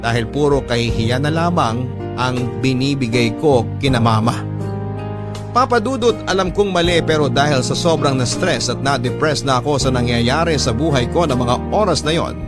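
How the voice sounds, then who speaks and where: Casting: Filipino-accented man, medium pitch, radio drama storyteller reading confessional narration over music. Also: moderate pace. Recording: music behind the voice.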